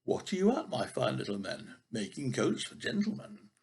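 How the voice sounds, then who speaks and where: A man's voice: medium pitch, sing-song, moderate pace, creaky, a little mellow, husky, exaggerated voice. An older man voicing tiny, cheeky tailor mice in a storybook, chanting in a sing-song way. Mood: teasing, sing-song.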